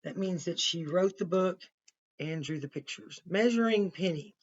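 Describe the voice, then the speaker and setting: A woman's voice: on the low side, moderate pace, darkish tone, muffled recording. A woman kindergarten teacher reading a picture book aloud to young children and explaining it simply as she goes.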